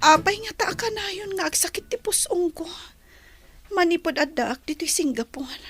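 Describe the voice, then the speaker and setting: A woman playing a softly wistful young migrant worker in an audio drama. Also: very high-pitched, animated, moderate pace, soft background music.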